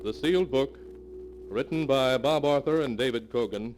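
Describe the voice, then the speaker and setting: A man's voice: mid-range voice, normal speed, a little mellow. A man announcing a radio mystery program in formal 1940s style. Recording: faintly noisy 1940s radio recording.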